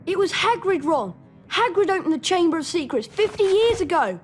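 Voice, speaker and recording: A woman's voice: very high-pitched, sing-song, normal speed, faint music behind. A woman voicing an earnest, inquisitive young schoolboy wizard with a British accent in a video game.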